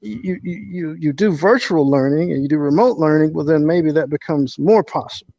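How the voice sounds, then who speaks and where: A man's voice: fairly high pitch, normal speed, gravelly, warm tone, no echo. A man answering as an interview guest in an earnest, conversational way, often repeating words as he builds a point, on slightly dull call audio.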